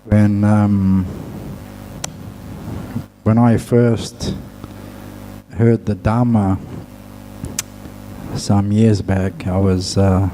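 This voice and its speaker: A man with a low, mellow voice gives a dhamma talk as a Buddhist teacher. He speaks very slowly and haltingly, leaving long pauses between short phrases. A faint hum sits behind him. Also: little pitch movement, poor audio.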